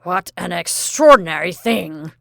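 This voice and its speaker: A woman reading an audiobook voices a deaf, impatient old man, a retired colonel, who barks his lines loudly. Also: fairly low pitch, lively intonation, unhurried, gravelly, dry recording, clean studio sound. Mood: brooding. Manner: barking, impatient, loud. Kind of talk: audiobook character voice.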